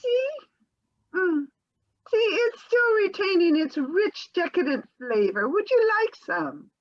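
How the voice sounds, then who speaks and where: A woman's voice: extremely high pitch, sing-song, slow pace, highs cut off, heavily acted. A woman playing a fluttery, evasive, genteel housewife in a comic stage scene, over-polite and theatrical. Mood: flustered.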